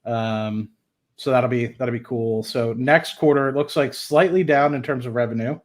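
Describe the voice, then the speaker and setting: Male host, medium-pitched voice, casual live commentary, reading and reacting in an informal thinking-aloud way. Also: fairly even intonation, normal speed. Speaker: host of an investing livestream.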